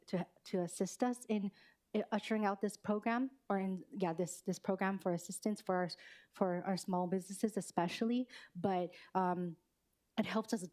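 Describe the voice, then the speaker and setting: A woman's voice: fairly low pitch, darkish tone. A woman chairing a city council committee meeting, talking in long hesitant runs with many fillers, and saying she is losing her voice.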